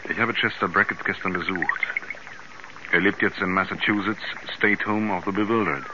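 Sober case narrator played by a man, measured and questioning, dull noisy vintage radio play sound. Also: low-pitched, steady tone, natural voice. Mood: sober, grave. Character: sober male investigator narrating a mysterious case. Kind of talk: scripted documentary-style radio play narration. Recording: dull 1970s radio recording, clear background noise.

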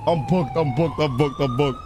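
Excitable man, swinging high-pitched voice. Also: normal speed. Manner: slangy, excitable, repeats phrases. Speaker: streamer playing a role-play game. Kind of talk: in-character live gameplay chatter. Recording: sirens and vehicle noise behind voice. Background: game sirens and car sounds.

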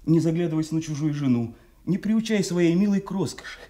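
An actor playing a haunted, raving mad beggar in a Soviet radio drama, chanting in fragments. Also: male voice, a little high, fairly quick, dark tone, natural voice. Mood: pitiful raving. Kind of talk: classical Soviet stage acting for radio. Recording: echoey Soviet radio theatre recording.

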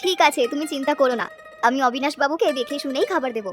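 A woman voicing an eager, obliging young village wife in a fairy tale cartoon, brisk and confident. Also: high-pitched, faint music behind, lightly acted.

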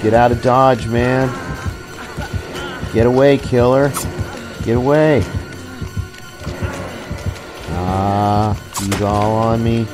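A man's voice: medium pitch, drawn-out words, long pauses. A man giving casual live commentary while he streams a video game. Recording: game music behind the voice.

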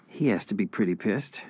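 A man's voice: on the low side, a little mellow. An actor playing a cocky, reckless young man with crime boss ambitions in a video game cutscene. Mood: dry amusement.

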